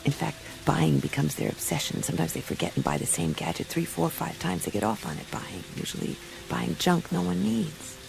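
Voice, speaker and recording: A woman's voice: deep voice, sing-song, a little fast, gravelly, few pauses, music in the background, heavily acted, rough recording. An actress playing a tough, wry woman private detective in a radio play, speaking in an offhand, slangy way. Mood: guarded, matter-of-fact.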